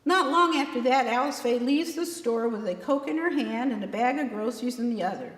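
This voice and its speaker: A woman author narrating her novel in a folksy, expressive storytelling style. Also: fairly high pitch, brisk. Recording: echoey room with long reverb.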